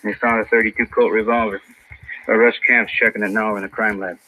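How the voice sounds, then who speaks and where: An actor playing a businesslike police detective, plain-spoken and clipped, in the style of a 1950s radio crime drama. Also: male voice, fairly low pitch, little pitch movement, fairly quick, bright, forceful tone. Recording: noisy old 1950s radio recording.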